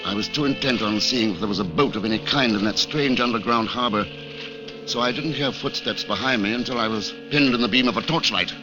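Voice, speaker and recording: A man playing the hunted hero of a vintage radio spy thriller. He tells his story intently in a medium-pitched voice, with dramatic music behind him. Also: brisk, natural voice, low-quality recording. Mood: urgent. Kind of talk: 1940s radio acting, dramatic first-person narration.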